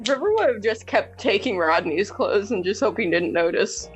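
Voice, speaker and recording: A woman chats and banters as a player in a tabletop game. She is teasing and giggly, with a mid-pitched voice and faint music playing behind her. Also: animated, normal speed, low-quality recording. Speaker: player in a tabletop roleplaying podcast. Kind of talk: casual in-character banter.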